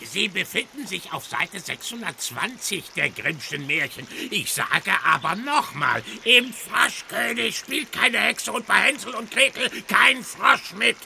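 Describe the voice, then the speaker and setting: An actor playing a fussy, easily confused fairy-tale page-finder in a children's radio play, pedantic and flustered. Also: male voice, high voice, lively intonation, a little slow, creaky, hardly any pauses, bright, forceful tone, slight background noise, exaggerated voice.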